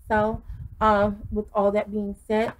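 A woman's voice: medium pitch, monotone, slow, a little hiss or hum, low-quality recording. A middle-aged woman reviewing wine in a casual vlog, gushing and full of ums and restarts, recorded on a webcam in a small wooden room. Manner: effusive, many ums and restarts.

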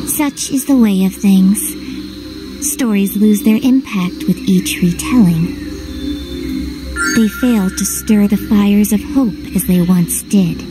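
A cold game villainess who speaks very slowly and solemnly. Her mid-pitched voice is theatrical and ominous. Music swells clearly behind her. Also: rough recording. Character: solemn, cold female villain foretelling the end of heroes. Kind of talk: scripted game cutscene monologue.

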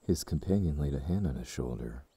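A man narrating an audiobook in a slow, measured, somber way. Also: rumbling low, animated, dark tone, husky, no echo.

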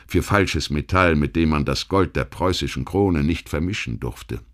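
A man narrating a history documentary audiobook, reading a literary text in a measured, articulate way with rhetorical emphasis. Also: sits low. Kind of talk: scripted documentary history narration, read aloud.

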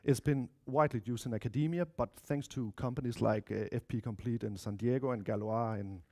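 A man in his thirties with a Danish accent presents an informal technical talk. His voice is dark and relaxed, at an ordinary male pitch. He speaks chattily, often turning to the audience with asides. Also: a little fast. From Denmark or Spain, of mixed Spanish-Danish family. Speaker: conference speaker, software developer. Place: lecture room, indoors.

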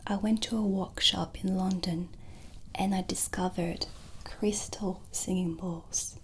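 A woman speaks in a breathy ASMR whisper, close to the microphone. Her delivery is gentle and very unhurried, with long pauses between short phrases. A soft ambient noise sits behind her voice. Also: on the low side, steady tone. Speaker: ASMR host playing a witch shopkeeper. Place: small dark candlelit indoor set.

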